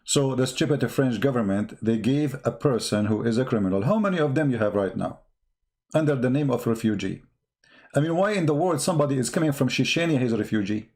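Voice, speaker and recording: A man's voice: mid-range voice, expressive, brisk, darkish tone. A man who speaks English as a second language, giving impassioned off-the-cuff news commentary in an emphatic, repetitive way full of rhetorical questions. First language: not English.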